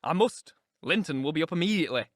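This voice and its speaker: A man's voice: fairly high pitch, lively intonation, fast talker, no room sound, clean studio sound, close to an everyday voice. A man playing a brooding, passionate, tormented lover with an intense, earnest murmur in an audiobook drama. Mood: urgent.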